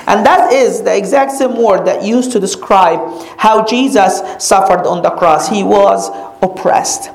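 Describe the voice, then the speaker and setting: A woman whose first language is Arabic, teaching a sermon on a Bible passage and stressing key phrases with slow, dramatic repetition. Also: medium pitch, a little mellow. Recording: echoey room.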